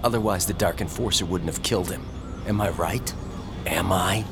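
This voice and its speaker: A man's voice: low-pitched, lightly acted. Actor as a cold, vengeful American man over music. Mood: taunting, accusing. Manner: deliberate, taunting. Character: vengeful son of a slain detective, cold, accusing. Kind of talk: horror radio drama acting. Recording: music bed under the voice.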